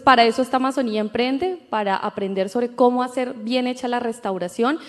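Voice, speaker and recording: A Colombian woman in her thirties speaking on a conference panel, clear and explanatory. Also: on the high side. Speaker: panelist, entrepreneur. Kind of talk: panel talk. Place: conference hall. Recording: long hall reverb.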